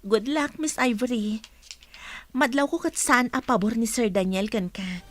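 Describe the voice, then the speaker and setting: A woman's voice: fairly high pitch, unhurried, soft background music. A woman with an Ilocano accent playing a stern, businesslike office supervisor in a radio drama.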